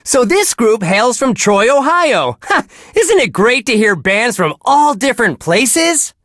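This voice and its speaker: A bright, forceful radio DJ, bursts with wholesome cheer. He gushes in folksy exclamations with big swings of pitch, recorded dry and close, as heard on a game's rock station. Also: sing-song, unhurried, no room sound, full character voice. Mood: sunny, friendly. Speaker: radio DJ host. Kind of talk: video game radio DJ patter.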